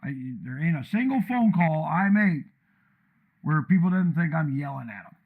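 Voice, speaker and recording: Blunt man with a deep commanding voice. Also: lively intonation, normal speed, narrow, tinny audio. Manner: blunt, loud, rambling. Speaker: streamer tuning his microphone. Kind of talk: casual live-stream rant. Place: untreated room with echo and a computer fan.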